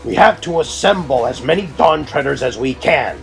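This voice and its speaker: A man voicing a suspicious, gruff warrior with drawn-out delivery in an audio drama. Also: fairly high pitch, expressive, pitched above the performer's own voice. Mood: bellowing. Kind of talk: audio drama character acting. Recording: music bed behind the voice.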